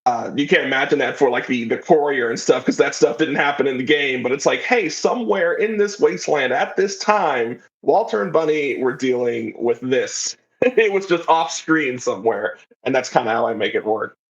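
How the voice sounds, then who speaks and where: A man's voice: mid-range voice, lively intonation, normal speed. A man talking casually as a podcast guest, telling stories in a rambling, informal way. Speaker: podcast guest, audio drama writer. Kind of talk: casual interview chat. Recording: slightly dull, lo-fi audio.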